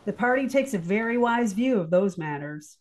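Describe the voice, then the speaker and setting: A woman's voice: mid-range voice, expressive, moderate pace, darkish tone, close to an everyday voice. A woman playing a sly, inquisitive office colleague in an audio drama, arch and probing.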